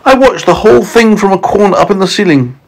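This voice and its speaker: A man's voice: sits high, expressive, fast talker, a little mellow, voice pushed up, rough recording. A man voicing a mournful, wronged female spider from a storybook in a plaintive way. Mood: grieving. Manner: plaintive, sorrowful.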